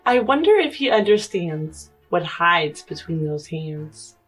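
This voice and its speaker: Slow-speaking woman over faint music. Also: on the low side, big pitch swings, slightly rough. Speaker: player in a tabletop game show. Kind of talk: reciting a homemade rhyme, casual chat.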